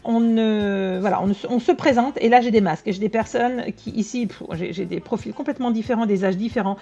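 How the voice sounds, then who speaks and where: A woman's voice: on the high side, dark tone. Blunt, slangy woman medium. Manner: blunt, slangy, rapid asides to the audience. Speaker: tarot and psychic medium. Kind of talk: casual channeled reading to viewers. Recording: dull lo-fi sound with faint music.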